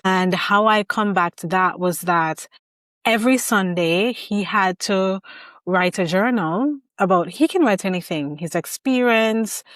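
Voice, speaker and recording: A woman's voice: mid-range voice, dry recording, clean studio sound. A woman hosting an interview, talking reflectively and sharing her own parenting experience. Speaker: podcast host. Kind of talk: conversational interview.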